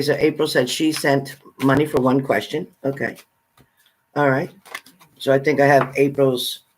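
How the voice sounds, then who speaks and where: A man with a mid-pitched voice hosts an informal live stream. He talks casually and directly to the people watching, in an everyday conversational way. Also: slight background noise, rough recording. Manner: informal, addresses viewers by name. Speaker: livestream host. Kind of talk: casual live chat with viewers.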